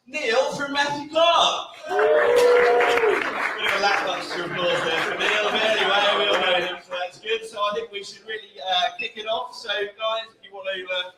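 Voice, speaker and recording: A man's voice: high voice, moderate pace. A man hosting a live cocktail competition, chatty and jokey, with many filler sounds. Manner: chatty, lots of "uh" fillers, jokey asides to the crowd. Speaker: event host. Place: large indoor event venue. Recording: echoey venue, distant mic, faint noise.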